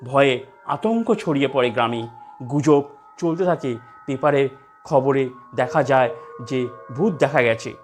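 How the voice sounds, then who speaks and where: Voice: medium pitch, unhurried, long pauses, faint music behind. A narrator reading a scripted horror story.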